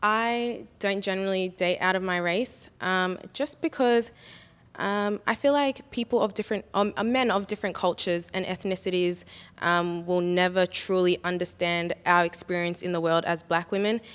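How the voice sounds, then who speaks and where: A woman's voice: fairly low pitch, moderate pace, a bit bright, smooth. A young woman giving her opinions in a panel discussion, earnest and heavy on "um". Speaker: panel guest. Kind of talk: casual discussion. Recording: long reverb.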